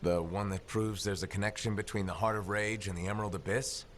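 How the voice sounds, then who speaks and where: Man as deep-voiced, wry, guarded mercenary. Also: fairly even intonation, fairly quick, a bit bright, slight background noise, natural voice. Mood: exasperated. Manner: dry, sardonic, evasive. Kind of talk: video game cutscene acting.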